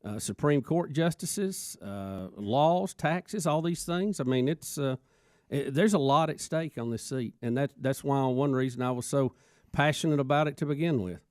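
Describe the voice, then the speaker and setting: A man's voice: mid-range voice, normal speed, darkish tone, dry recording, studio quality. A man co-hosting call-in radio, talking in an earnest, opinionated way and repeating words as he goes. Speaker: radio show co-host.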